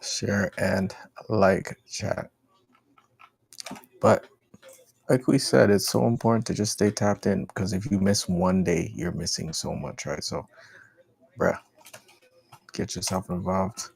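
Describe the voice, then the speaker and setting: Gravelly, very deep-voiced man livestreaming. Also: fairly even intonation, slow, pauses often, a little mellow. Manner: slangy, repetitive, addressing the chat. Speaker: livestream host. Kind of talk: casual livestream commentary on news.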